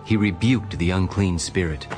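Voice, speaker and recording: A man narrating a dramatized audio Bible as a solemn, measured storyteller. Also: sits low, a little mellow, close to an everyday voice. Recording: music bed behind narration.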